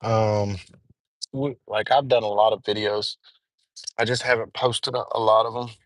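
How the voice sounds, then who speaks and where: A man's voice: lowish voice, fairly even intonation, normal speed, dry recording, rough recording. A young man from Alabama with a Southern accent, rambling casually to his viewers during a live stream. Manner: rambling, chatty, addresses viewers as gang. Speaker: livestreamer. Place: on the road and in a store.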